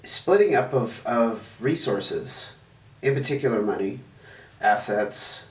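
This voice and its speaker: A man's voice: medium pitch, lively intonation, slow pace. Stuffy-nosed man reading tarot, roomy sound. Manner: chatty, thinks aloud while laying out cards. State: stuffy, sniffling. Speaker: tarot reader, channel host. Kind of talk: casual tarot reading to camera. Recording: roomy, distant mic, faint noise.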